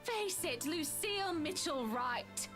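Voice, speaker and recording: Haughty wronged young wife, very high voice, slow deliberate delivery, game music behind. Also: female voice, animated, full character voice. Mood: bitter, wounded. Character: proud, wounded, wealthy young wife standing her ground. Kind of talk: dramatic visual novel voice acting.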